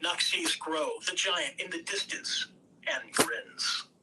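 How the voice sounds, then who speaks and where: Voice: medium pitch, lively intonation, slow pace. An amateur reader performing screenplay narration and scene directions with theatrical emphasis. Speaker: script reader at a table read. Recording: distant home mic, background noise.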